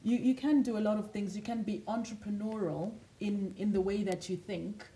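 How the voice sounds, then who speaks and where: Mellow-voiced woman life coach, from Zimbabwe. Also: mid-range voice, steady tone, moderate pace, poor audio. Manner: earnest, motivational, storytelling. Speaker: author and lifestyle coach, guest. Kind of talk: TV studio interview. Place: TV studio.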